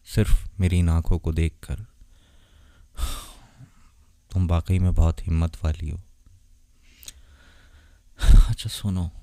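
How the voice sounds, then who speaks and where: A naive, protective young vampire boyfriend, acted by a man in a romantic audio drama. His voice is low and velvety dark, with earnest, dramatic delivery. The sound is dry, with no room around it. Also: no room sound. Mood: tender, apologetic. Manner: earnest, dramatic, puzzled questioning. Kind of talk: romantic ASMR roleplay audio drama in Hindi.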